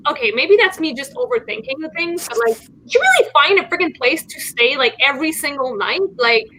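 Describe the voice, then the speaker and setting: A woman in a casual book-club chat, talking in an animated way and filling her sentences with "like". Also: high-pitched, a little fast, slight background noise, poor audio. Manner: animated, fills talk with "like".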